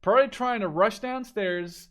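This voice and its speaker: A man's voice: high-pitched, normal speed. A man giving casual, unscripted spectator commentary on a video game, thinking aloud in a rambling, speculative way.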